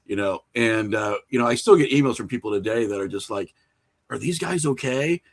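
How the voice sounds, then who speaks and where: A man's voice: mid-range voice, expressive, fairly quick. Casual, self-deprecating man in conversation. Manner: informal, self-deprecating, anecdotal. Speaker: podcast guest, indie filmmaker.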